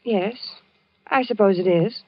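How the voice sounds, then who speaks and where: An actress playing a patient, worried wife who narrates in a 1940s radio drama, soothing and gently probing. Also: female voice, mid-range voice, a little slow, no echo, natural voice. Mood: coaxing. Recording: dull lo-fi old radio broadcast with music.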